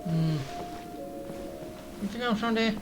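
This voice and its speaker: A woman's voice: mid-range voice, moderate pace, music in the background, rough recording. A woman giving casual, offhand gameplay commentary, musing aloud as a video game streamer.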